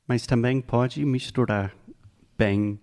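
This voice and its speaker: A man co-hosting a language podcast, a learner himself, who asks measured questions and slows down on key phrases. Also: mid-range voice, fairly even intonation, a little mellow. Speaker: podcast co-host and Portuguese learner. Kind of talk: casual teaching chat.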